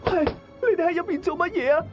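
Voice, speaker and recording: 一個女人喺粵語廣播劇入面，演繹一個為個仔焦急到發狂嘅母親，聲音悶悶哋。. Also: female voice, very high voice, a little fast. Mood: frantic. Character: frantic mother worried about her son. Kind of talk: Cantonese radio drama. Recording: muffled, dull voice under music.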